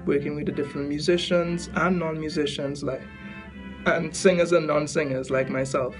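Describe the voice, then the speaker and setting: A man's voice: fairly high pitch, steady tone, moderate pace, over music. A man who does not sing, talking enthusiastically in an interview. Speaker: interviewee, non-singer participant. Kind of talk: casual interview.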